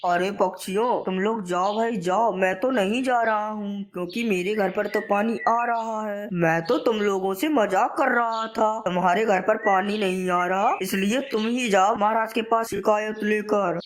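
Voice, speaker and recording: A woman's voice: mid-range voice, fairly even intonation, moderate pace, few pauses, natural voice. A woman voicing a sly, greedy male crow in a children's story cartoon, with a taunting, bossy manner. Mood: mocking.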